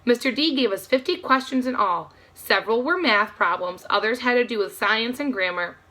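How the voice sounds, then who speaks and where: A woman reading a children's novel aloud as an expressive storybook narrator. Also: on the high side, normal speed.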